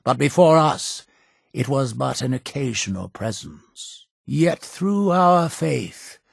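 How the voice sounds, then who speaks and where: An actor playing a zealous, imperious male cult leader in a video game, speaking in solemn, deliberate, preacher-like oratory with a gravelly, husky voice. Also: mid-range voice, lively intonation, no room sound, studio quality, heavily acted. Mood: solemn storytelling. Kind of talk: video game character dialogue.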